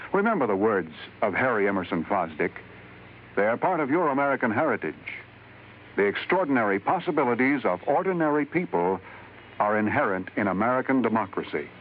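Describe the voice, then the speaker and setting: Solemn male announcer, narrow vintage radio sound. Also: medium pitch. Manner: measured, solemn, declamatory. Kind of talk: formal scripted public service narration. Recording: narrow vintage radio broadcast, faint hiss.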